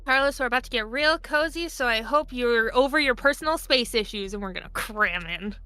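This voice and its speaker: Woman gamer, fairly high bright voice, casual joking in-character chat. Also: brisk, clear, resonant tone, no echo. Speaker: tabletop game player. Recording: music behind the voice. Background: background music.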